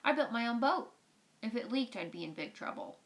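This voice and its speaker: A woman voicing a gentle, confident handyman in a storybook, plain-spoken and assured. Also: fairly high pitch, lively intonation, a little fast, pitched above the performer's own voice. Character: gentle, confident handyman and onion seller, adult man. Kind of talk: storybook read-aloud.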